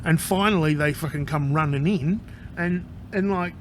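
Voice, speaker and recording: A man's voice: a little high, normal speed, slight background noise. Swearing man, anxious and raw. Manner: profane, rambling and candid, with frequent swearing; anxious and agitated. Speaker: vlogger. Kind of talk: casual personal vlog.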